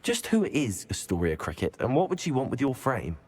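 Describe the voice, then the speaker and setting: An actor playing a level-headed young student in a video game, polite and composed with a dry, teasing edge. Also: male voice, mid-range voice, wildly swooping pitch, a little fast, a little mellow. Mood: curious, polite. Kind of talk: video game character acting.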